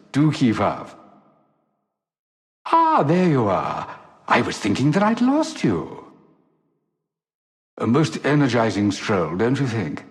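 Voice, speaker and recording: An actor playing a vain, teasing sci-fi rogue in a video game, with a theatrical, genteel, condescending delivery. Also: male voice, a little high, exaggerated voice. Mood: chivvying. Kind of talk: video game character voice lines. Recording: long hall-like reverb.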